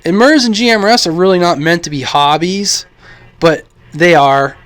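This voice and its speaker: A man's voice: sits high, moderate pace, fairly bright tone, no room sound. An American man giving a casual, opinionated vlog monologue in a blunt, informal, rambling way, as a radio hobbyist. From United States.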